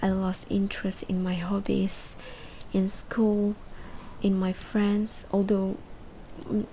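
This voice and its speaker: A woman playing a withdrawn, depressed patient in her twenties in an amateur radio drama, speaking haltingly. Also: medium pitch, little pitch movement, warm tone, a little hiss or hum, rough recording. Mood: hesitant, downcast. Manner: hesitant, halting, breaking into whispers. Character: withdrawn, depressed twenty-three-year-old patient confessing painfully. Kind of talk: amateur radio drama acting, confessional monologue.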